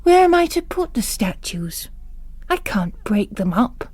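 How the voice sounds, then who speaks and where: A woman as a weary, sardonic priest, slow-spoken. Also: fairly high pitch, sing-song, a little mellow, slightly rough, no echo, exaggerated voice. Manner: grave, wry.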